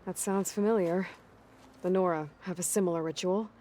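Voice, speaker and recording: An actress playing a determined, self-reliant young warrior heroine in a video game, direct and questioning. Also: female voice, fairly low pitch, fairly even intonation, normal speed, dark tone, lightly acted. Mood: resolute. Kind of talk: video game character acting.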